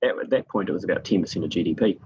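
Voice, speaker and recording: Gravelly, very deep-voiced man from New Zealand. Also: very fast, darkish tone, muffled recording, a little hiss or hum. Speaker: guest expert in forest monitoring. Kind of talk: conversational interview storytelling.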